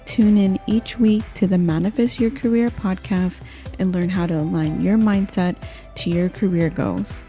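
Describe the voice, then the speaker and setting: Mellow-voiced woman podcast host. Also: medium pitch, steady tone, normal speed, faint music behind. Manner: upbeat, encouraging. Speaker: podcast host, career coach. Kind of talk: scripted intro then casual interview.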